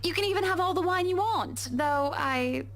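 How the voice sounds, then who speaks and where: A woman voicing a troubled young wealthy wife in a game, speaking in measured, proper sentences. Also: very high-pitched. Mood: careful. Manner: measured, refined speech breaking into swearing. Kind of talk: game voice acting.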